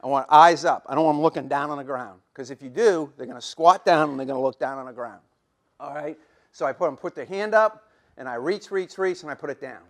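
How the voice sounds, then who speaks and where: A man's voice: fairly high pitch, fairly quick. A middle-aged man giving a football coaching clinic, folksy and repetitive, demonstrating drills as he talks while losing his voice.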